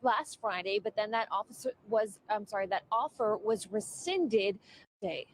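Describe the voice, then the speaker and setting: A woman's voice: mid-range voice, animated, moderate pace. A woman reporter delivering scripted television news narration.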